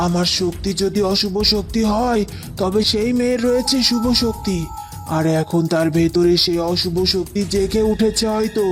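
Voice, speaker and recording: Villainous dark-magic priestess, acted by a woman: medium pitch, grave, dramatic, faint music behind. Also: moderate pace, lightly acted. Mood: alarmed, ominous. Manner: ominous, dramatic pronouncements. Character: sinister female occult sorceress, scheming and grave. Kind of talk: cartoon fairy-tale voice acting.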